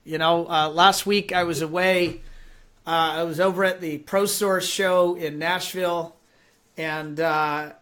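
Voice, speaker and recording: A man hosting a live-streamed interview show, chatty and upbeat with many fillers, his voice raspy and hoarse from allergies. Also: on the high side, steady tone, unhurried, fairly bright tone. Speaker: host.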